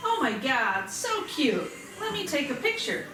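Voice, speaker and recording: An excitable, gushing woman at a party acted out in a script read. Her voice is fairly high and very lively, a little distant in an echoey room with music behind. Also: unhurried. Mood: excited gushing. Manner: gushing, exclamatory.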